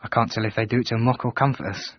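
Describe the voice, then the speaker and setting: An actor playing a wistful, devoted English soldier reciting a letter from the front, husky, reflective and measured, in a radio drama. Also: male voice, medium pitch, fairly even intonation, no echo, muffled recording, close to an everyday voice.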